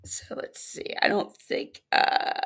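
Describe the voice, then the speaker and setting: A woman giving sarcastic, blunt commentary over a livestream, hoarse as she loses her voice. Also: takes it slowly, fairly bright tone. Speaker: reaction commentator.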